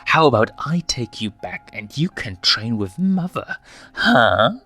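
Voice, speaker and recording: A man's voice: medium pitch, very animated, a little slow, pushed and bright, faint music behind, voice pushed up, heavily acted. A man voicing an irritable, lazy young lion with a bitter, sneering older-brother manner in a story reading. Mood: irritated. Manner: sneering, snappish.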